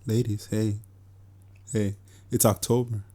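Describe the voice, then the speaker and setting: Cara de vinte e poucos, sotaque de Nova York, papo solto de podcast, brincalhão e boca-suja. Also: male voice, fairly low pitch, flat delivery, slow, mellow. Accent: New York. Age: 20s. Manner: joking, loose, swears freely. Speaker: podcast co-host. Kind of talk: casual chat.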